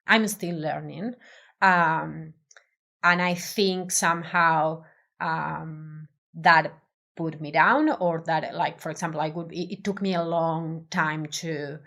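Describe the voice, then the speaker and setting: Woman, Spanish accent, low voice, hesitant restarts, interview guest. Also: steady tone, slow pace, creaky, a bit bright. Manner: hesitant, many restarts and fillers, thoughtful. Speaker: design professional, podcast guest. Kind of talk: casual interview conversation.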